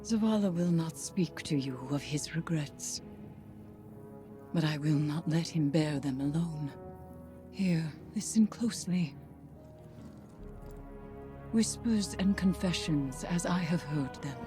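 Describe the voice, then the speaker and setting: A woman playing a calm, tender keeper of secrets, narrating a game cutscene in a hushed, poetic way. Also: low voice, music in the background. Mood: solemn, wistful. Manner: hushed, poetic, deliberate storytelling.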